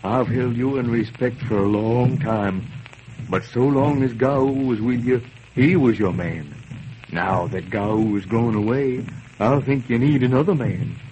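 A determined enslaved man, voiced by an actor, earnest and solemn in an even, mid-pitched voice. Faint hiss sits under this old radio broadcast. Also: measured pace, muffled recording, lightly acted. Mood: tender. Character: resolute enslaved man, future liberator. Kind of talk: 1940s radio acting.

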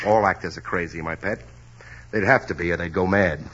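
An actor playing a hard-up stage actor in a 1940s radio drama, talking bashfully with stammers and hesitations. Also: male voice, deep voice, a little fast, a bit bright, husky. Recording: dull lo-fi old radio recording.

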